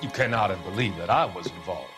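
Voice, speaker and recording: A coldly scheming doctor in a game drama. His low voice swoops expressively through formal, condescending phrases, with music clearly behind it. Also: expressive, quick pace. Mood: soothing, sinister. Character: smooth, cold, scheming male doctor hiding menace. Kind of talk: dramatic video game cutscene acting.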